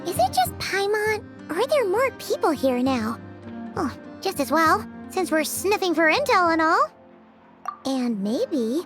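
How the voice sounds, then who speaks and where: Squeaky, bubbly little fairy girl. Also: exaggerated voice. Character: chirpy, excitable little fairy girl companion. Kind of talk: animated video game dialogue.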